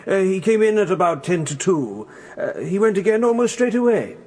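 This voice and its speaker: A hesitant male British butler in a radio courtroom drama. His voice sits a little high and sounds husky. He answers haltingly, with careful deference. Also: very animated, mellow, exaggerated voice. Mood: hesitant, respectful. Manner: halting, respectful, subdued answers.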